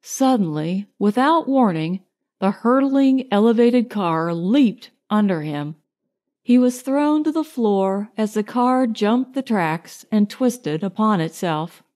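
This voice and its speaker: A woman narrates a short story for an audiobook. Her delivery is scripted and slow, and her voice sits at a medium pitch for a woman. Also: lively intonation, darkish tone.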